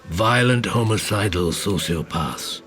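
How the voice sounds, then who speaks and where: An actor playing a gruff, jaded, hard-drinking senior detective in an audio drama, blunt and terse. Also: male voice, fairly low pitch, a little slow, fairly bright tone. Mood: terse, probing. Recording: music behind the voice.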